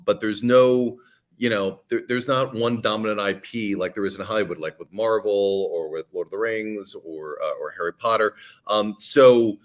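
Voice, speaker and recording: A middle-aged man, a podcast creator and guest, talking casually in an interview with many fillers and restarts. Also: fairly low pitch.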